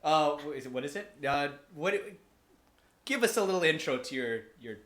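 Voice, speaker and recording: A man with a bright, slightly high voice hosts a podcast segment. He talks casually and rambles in a joking, informal way. The room echoes around him, with faint noise behind. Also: animated, normal speed. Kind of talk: casual banter, hosting a game segment. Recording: roomy sound with faint background noise.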